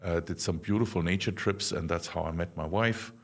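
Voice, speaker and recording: A deep, gravelly-voiced man in his fifties with a German accent. He talks casually as a guest, pausing often and restarting his sentences. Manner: halting, many fillers and restarts. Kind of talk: casual conversational interview.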